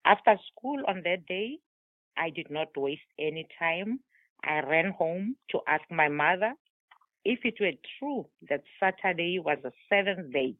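A South African woman with a lowish voice tells her life story slowly and deliberately. Her first language is Tswana. She comes through a dry, tinny phone line. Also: animated, dry recording. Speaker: guest author. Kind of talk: remote interview, telling her life story.

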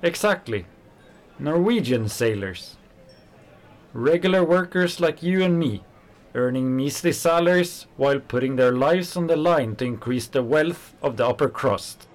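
An actor playing an angry working-class kitchen worker, a fiery socialist who talks in heated, scornful bursts. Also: male voice, on the high side, animated, unhurried, low-quality recording. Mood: impassioned. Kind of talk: radio play acting.

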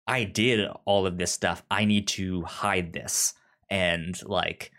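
A man's voice: low voice, steady tone, slow pace, fairly bright tone. A man chatting casually about books as a podcast co-host, stammering and repeating sounds and words as he goes. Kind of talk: casual book discussion chat.

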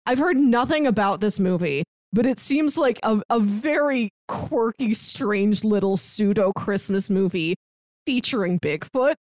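A woman's voice: mid-range voice, lively intonation, a little slow, a little mellow, dry recording. A woman co-hosting a podcast, talking in a casual, conversational style. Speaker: podcast co-host.

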